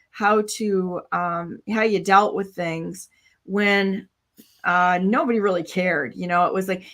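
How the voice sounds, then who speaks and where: A woman's voice: medium pitch, fairly bright tone. A woman in her sixties hosting a casual chat, blunt and rambling, full of "you know" and "like". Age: 60s. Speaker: host.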